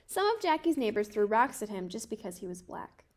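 A woman in her twenties reading a children's picture book aloud in a careful, measured way. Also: mid-range voice, very animated. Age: 20s. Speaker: storybook reader.

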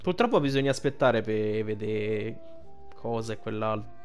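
A man's voice: on the low side, animated, normal speed. An Italian man giving casual, rambling and crude commentary over a video game. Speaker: gameplay streamer commentator. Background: game music.

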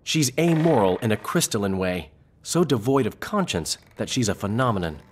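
An actor playing a flamboyant bard in a fantasy video game, wry and theatrical, with a husky voice. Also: male voice, on the low side, sing-song, normal speed. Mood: wry, gossipy. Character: witty, flamboyant male bard and gossip.

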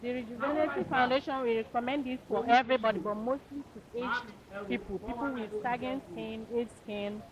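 A woman's voice: on the high side, normal speed. A woman with a Nigerian accent presenting products as a brand ambassador in a stall interview. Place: outdoor market stall under tent. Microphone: handheld interview microphone. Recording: muffled, busy outdoor crowd noise.

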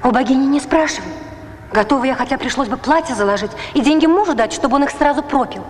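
An actress playing an eager Greek soldier's wife, fervent for peace, with theatrical film delivery. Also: female voice, high-pitched, fast, natural voice. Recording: reverberant hall, background noise.

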